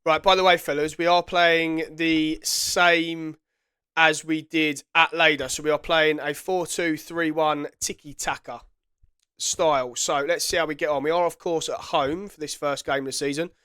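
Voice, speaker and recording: A man's voice: on the high side, level tone, moderate pace, pushed and bright, clean tone, dry recording, clean studio sound. A man with a head cold giving chatty, self-correcting commentary while playing a football management game. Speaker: gaming YouTuber. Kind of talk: casual gameplay commentary.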